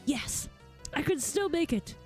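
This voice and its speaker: A woman's voice: high-pitched, animated, a little slow, soft background music, pitched above the performer's own voice. A woman voicing a heroic, melodramatic clone inspector in a video game, making grandiose, theatrical declarations. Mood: determined.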